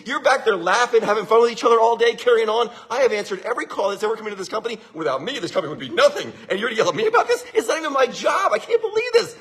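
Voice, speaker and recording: A man voicing a put-upon, loudly protesting woman switchboard operator for a comic story. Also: very high-pitched, animated, racing, few pauses. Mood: outraged.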